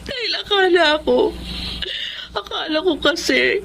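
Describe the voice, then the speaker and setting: A woman's voice: very high voice, animated, measured pace, gravelly, a bit bright, heavily acted, rough recording. An actress playing a remorseful, troubled mother and wife in a radio drama. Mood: tearful regret. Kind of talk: Tagalog radio drama acting.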